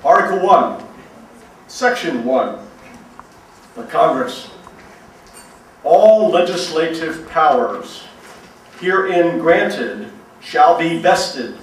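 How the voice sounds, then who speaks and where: Male guest reader, slow formal delivery, distant from mic, faint noise. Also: a little high, heavy reverb. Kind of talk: slow, formal reading aloud of a legal document.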